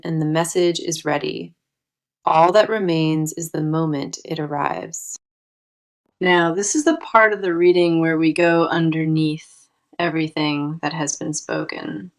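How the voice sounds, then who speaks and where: Low-voiced woman, slow tarot reading. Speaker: tarot card reader and host. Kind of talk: scripted tarot reading monologue.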